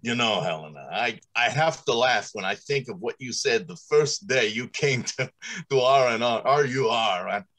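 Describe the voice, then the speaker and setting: A man's voice: medium pitch, expressive, normal speed, fairly bright tone. A middle-aged man playing a confident, dismissive factory director in old-time radio drama style. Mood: amused. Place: small room at home, on a video call.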